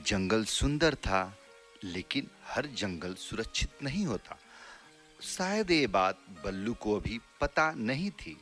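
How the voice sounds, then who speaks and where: Slow, animated male children's story narrator. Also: fairly low pitch, soft background music.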